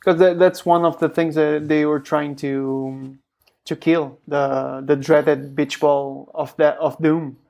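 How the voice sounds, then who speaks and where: A man co-hosting a casual tech podcast, animated and quick to laugh, with excited outbursts. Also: a little high, gravelly. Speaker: podcast co-host.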